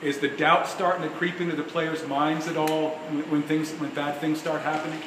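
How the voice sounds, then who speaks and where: A man's voice: on the high side, level tone, normal speed. A male sports reporter asking loosely phrased, rambling questions at a press conference. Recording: echoey room with air-conditioning hum.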